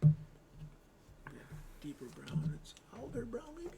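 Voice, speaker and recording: A man chatting casually while he paints miniatures, animated and given to muttering and swearing. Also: on the high side, slow, creaky, over loud music. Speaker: show regular.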